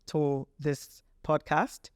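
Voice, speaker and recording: A woman's voice: deep voice, level tone, very slow, darkish tone, clear, resonant tone, dry recording, studio quality. A woman hosting an interview podcast, introducing and addressing people in an enthusiastic, effusive way. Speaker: podcast host.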